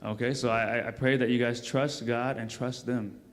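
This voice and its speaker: A man's voice: mid-range voice, steady tone, moderate pace, long reverb tail. A man leading a church service, speaking casually and encouragingly to the congregation and repeating himself often. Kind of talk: addressing a congregation.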